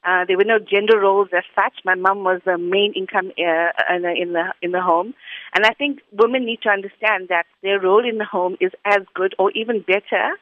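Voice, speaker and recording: A woman's voice: mid-range voice, fairly even intonation, a little fast, no echo. A woman, giving earnest, articulate answers as an interview guest. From South Africa. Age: 40s. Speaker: interview guest, school academic director. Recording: dull lo-fi sound, dry.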